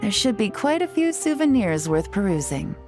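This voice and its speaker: A woman's voice: mid-range voice, sing-song, normal speed, darkish tone, soft background music, lightly acted. An actress voicing a composed, lightly teasing woman agent in a game cutscene. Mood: relaxed. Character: poised cool woman agent on vacation.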